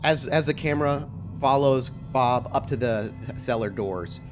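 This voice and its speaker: A man's voice: medium pitch, a little slow, over music. A man running a tabletop roleplaying game as game master, narrating scenes descriptively and conversationally.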